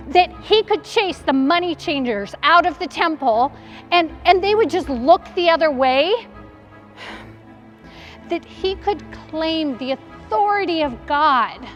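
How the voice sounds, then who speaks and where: Woman playing despairing woman disciple, high-pitched, slow, halting, frightened and bitter, theatrical monologue, faint music. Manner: halting, self-questioning, anguished. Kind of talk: dramatic stage monologue.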